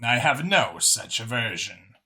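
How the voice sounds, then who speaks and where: A man voicing a confident, formal female alien official in a science fiction audiobook, speaking in a deliberate, emphatic way. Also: medium pitch, big pitch swings, sharp tone, full character voice. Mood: formal, assured. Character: imperious female alien official, confident, formal, free-thinking council chair.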